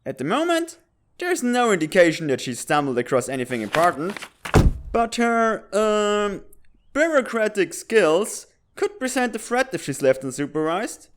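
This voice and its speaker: A man's voice: sits high, sing-song, normal speed. A man voicing a goofy but dutiful bird spy in a comic dub, deferential and colloquial in his speech. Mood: dutiful, nervous. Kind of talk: dramatic fan-dub comic acting.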